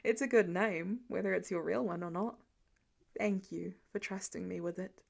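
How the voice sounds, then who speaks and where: A woman voicing a gentle, weary middle-aged father in an audiobook story, low-key and plain-spoken with a dry manner. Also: fairly even intonation, normal speed, gravelly. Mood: gentle, reassuring.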